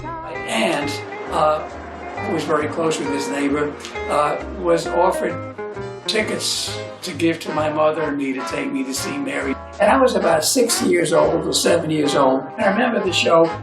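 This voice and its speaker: Older man, interviewee, hesitant storyteller, slightly high gravelly voice. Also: moderate pace, loud music behind the voice. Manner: hesitant storytelling with many fillers. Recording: echoey, distant room sound.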